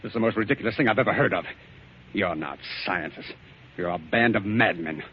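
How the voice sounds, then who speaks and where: An actor playing an earnest, absent-minded scientist in a 1940s radio drama, hesitant and stammering, easily roused to indignation. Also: male voice, lowish voice, a little fast, slightly rough. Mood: shocked, indignant. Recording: dull lo-fi 1940s radio recording.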